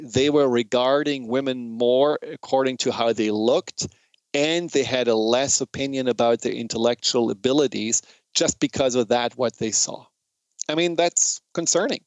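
A man with a German accent gives an informal educational talk in a smooth, clear voice of medium pitch. He explains things earnestly and reflectively, and the sound is dry and close. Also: dry recording.

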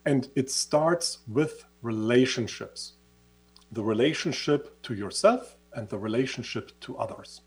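A man's voice: mid-range voice, animated, measured pace, a little mellow, husky. A man with a Swiss German accent, co-hosting a motivational talk and telling an earnest story from his past with restarts and fillers. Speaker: co-host.

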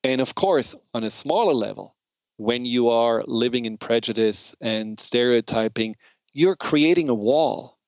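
A man with a German accent gives an informal educational talk in a smooth, clear voice of medium pitch. He explains things earnestly and reflectively, and the sound is dry and close. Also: animated, a little slow, dry recording.

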